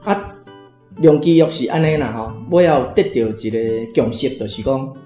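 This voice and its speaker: A man's voice: on the high side, normal speed, warm tone. A man with a Taiwanese accent giving spoken commentary as a reading club guest, explaining with many sentence particles. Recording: roomy echo with faint music.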